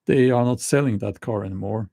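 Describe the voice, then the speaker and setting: A middle-aged man with a Norwegian accent talking casually to camera, slow and halting with many restarts. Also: on the low side, mellow, dry recording, clean studio sound. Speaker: YouTuber.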